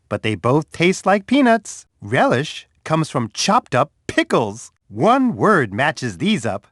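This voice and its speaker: A big, friendly bear from a children's game, who talks slowly and kindly to a young child. His mid-pitched voice swoops widely up and down as he coaxes and praises, and the studio sound is close and dry. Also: no echo, exaggerated voice. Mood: encouraging. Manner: slow, sing-song, coaxing, speaks to a young child. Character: big friendly male bear, gentle and encouraging. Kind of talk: educational game voice clips.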